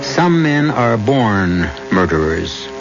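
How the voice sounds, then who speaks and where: An actor as the urbane, ominous host of an old radio mystery, narrating deliberately and dramatically. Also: male voice, fairly low pitch, very animated, exaggerated voice. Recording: dull lo-fi radio recording. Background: clear music.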